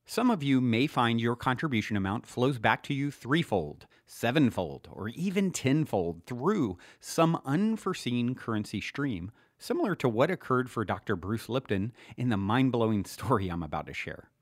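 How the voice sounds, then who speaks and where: A man reading his own self-help book as scripted narration, earnest and motivational with deliberate emphasis. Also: mid-range voice, no echo, clean studio sound.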